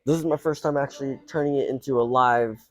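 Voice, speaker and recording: A man's voice: mid-range voice, steady tone, fast, no echo. A man born in Colombia and raised in South Florida, hosting a casual podcast chat in a small booth inside a shopping mall, talking in a rambling way with lots of fillers. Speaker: podcast host.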